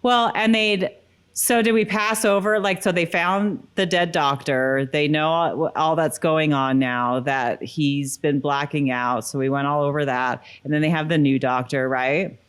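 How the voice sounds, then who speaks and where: A woman's voice: low voice, steady tone, unhurried, clean tone. Woman co-hosting a podcast. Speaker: podcast co-host. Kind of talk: casual chat with stretches of reading a written recap aloud.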